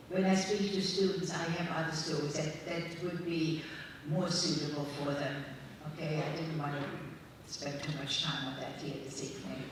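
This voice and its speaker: A woman in her eighties, a guest speaker answering questions from an audience, speaking in a measured, earnest way with pauses. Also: lowish voice. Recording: echoey hall from a distance, faint crowd noise.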